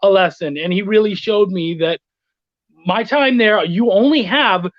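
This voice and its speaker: On a slightly muffled, very dry recording, a man talks casually as an interview guest. He tells stories in an animated, conversational way and swears often. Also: high-pitched, a little slow, no echo. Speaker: guest, freelance writer and editor. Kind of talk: casual anecdotal chat.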